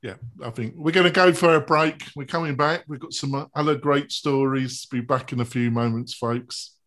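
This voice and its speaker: A man's voice: mid-range voice, fairly even intonation, moderate pace, fairly bright tone. A man hosting a live web panel show, chatty and promotional as he goes to a break. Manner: chatty, plugs a webinar with food metaphors. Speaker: show host.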